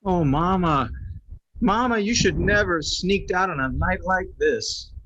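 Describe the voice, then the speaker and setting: A man's voice: high voice, unhurried, fairly bright tone. An actor playing an unsuspecting, devoted husband in a radio horror play, a man with a folksy, solicitous manner. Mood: fussing, tender. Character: unsuspecting husband, devoted and fussing over his mama. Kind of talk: old-time radio horror acting. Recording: noisy, dull lo-fi live audio.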